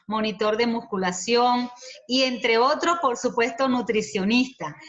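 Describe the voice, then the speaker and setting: A woman hosting a video call, welcoming and introducing a guest in a measured presenter's way. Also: fairly high pitch, fairly bright tone. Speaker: host. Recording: muffled video call audio.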